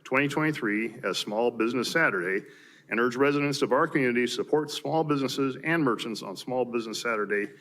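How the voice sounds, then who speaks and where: A man's voice: mid-range voice, fast talker, fairly bright tone. A man with an American accent, a mayor formally reading proclamations at a council meeting. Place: city council chamber.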